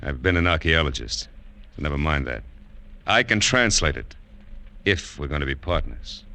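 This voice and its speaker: A very deep, hard-edged American treasure hunter in a vintage 1940s radio adventure. He is greedy and pushy, with tough slang and a lively, swooping delivery. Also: moderate pace, vocal fry, exaggerated voice. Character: gruff, greedy male treasure hunter and ex-archaeologist.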